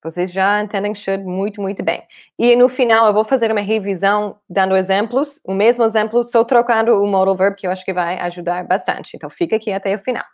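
A woman in her thirties, a native English speaker with a foreign accent in Portuguese, teaching an online language lesson in a clear, deliberate way. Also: mid-range voice, fairly even intonation. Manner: clear, deliberate explaining with repeated examples. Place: small room indoors, at a desk.

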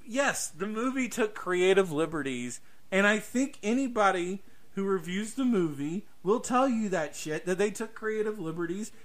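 A man with a fairly high, sharp, forceful voice talks as a podcast host. He is opinionated and emphatic in a casual way, swearing and repeating his points to drive them home.

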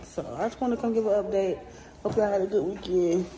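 A woman's voice: medium pitch, brisk, mellow. A woman in her thirties chatting casually to her viewers from a parked car, calling them y'all. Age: 30s. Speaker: vlogger. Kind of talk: casual chat to camera. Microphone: phone or camera on dashboard. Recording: noisy car interior.

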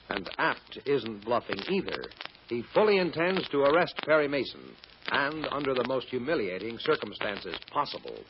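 On a muffled, noisy old recording, a man plays an American radio serial narrator. He builds suspense in a mid-pitched voice with wide swings and deliberate, portentous pauses. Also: close to an everyday voice.

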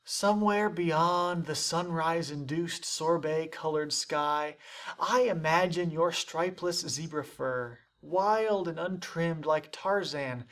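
A young man with a slightly high voice recites poetry as a mock-serious performance. His delivery is theatrical and deliberate, leaning on dramatic pauses and swelling emphasis. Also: a bit bright. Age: young adult. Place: indoors, dark studio stage set.

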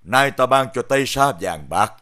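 A man playing a dignified older king in an animated fairy tale, with stately, formal speech. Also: mid-range voice, normal speed. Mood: grateful, surprised.

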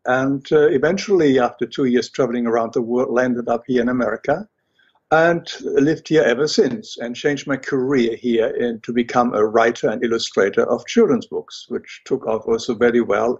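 An older man with a German accent talks as a guest, pausing often and restarting his sentences. His voice is husky and a little breathy. The audio sounds dull and lo-fi. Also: mid-range voice, a little mellow. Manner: hesitant, many fillers and restarts. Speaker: guest, illustrator and writer. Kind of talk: interview conversation.

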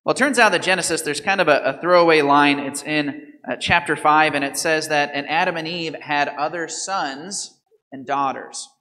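Bright-voiced priest in a church. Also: male voice, on the high side, moderate pace. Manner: asks the congregation questions and quizzes children. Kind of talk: gospel reading and homily. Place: large church sanctuary. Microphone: microphone at the altar, far camera.

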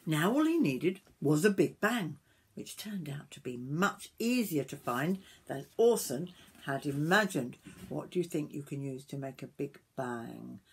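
Granny in her 60s reading a kids picture book, really slow and expressive, bit of noise in the background. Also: deep voice. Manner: expressive, with drawn-out words and questions to the listener. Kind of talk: storytime read-aloud with asides to young listeners. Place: small wooden summerhouse.

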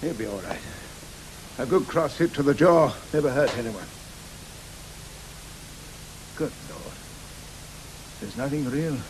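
An actor playing a stern, stubborn gentleman author in a radio drama, speaking formally and indignantly with a British accent. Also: medium pitch, animated, slow, pauses often, noisy background. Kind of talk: studio radio drama acting.

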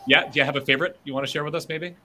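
A man's voice: a little high, fairly even intonation, rapid-fire, bright, forceful tone. A middle-aged man interviewing students over a video call in an upbeat, encouraging way. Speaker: interviewer host. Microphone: webcam on a video call.

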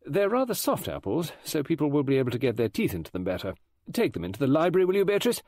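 A man voicing a brisk, bossy society hostess in a storybook, managing everyone with emphatic instructions. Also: a little high, very animated, darkish tone, dry recording, clean studio sound, pitched above the performer's own voice. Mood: brisk, organising.

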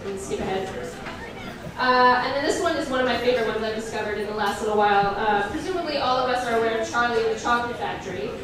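A woman's voice: on the high side, fast, crowd noise. A woman performing a live comedic reading, with wry, mocking, conversational asides to her audience. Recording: echoey room, distant mic, audience sounds.

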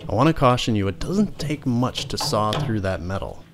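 A man's voice: fairly low pitch, lively intonation, normal speed, background noise. A man hosting a home repair tutorial, talking plainly step by step as he explains the work. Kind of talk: how-to narration and muttering while working.

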